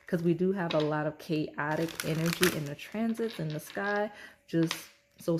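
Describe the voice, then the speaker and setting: A woman with a slight rasp, hoarse and losing her voice, chatting casually through a tarot card reading and often asking "okay?". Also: low voice, noisy background. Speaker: tarot reader.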